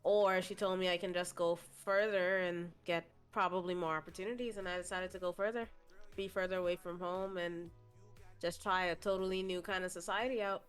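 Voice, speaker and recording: A woman's voice: fairly low pitch, fairly even intonation, brisk, clear, resonant tone, faint music behind. A young woman from the Bahamas, a streamer guest chatting casually in an interview, laughing often and swearing freely.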